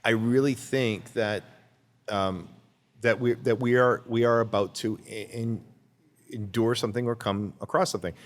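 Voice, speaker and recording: A man's voice: medium pitch, fairly even intonation, normal speed, big-room sound. A man talking as a guest in a casual interview, rambling and repeating words as he searches for his thoughts. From Canada.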